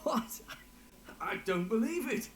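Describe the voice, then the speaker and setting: An actor playing a jovial, generous innkeeper in a video game, with a theatrical, effusive delivery. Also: male voice, extremely high pitch, very animated, slow, a bit bright, a little hiss or hum, heavily acted. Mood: surprised, delighted.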